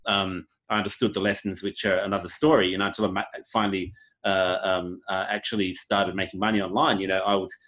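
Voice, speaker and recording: A man hosting a casual interview, talking haltingly with many "um"s, "uh"s and restarts. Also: low voice, flat delivery, a bit bright. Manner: hesitant, many fillers and restarts.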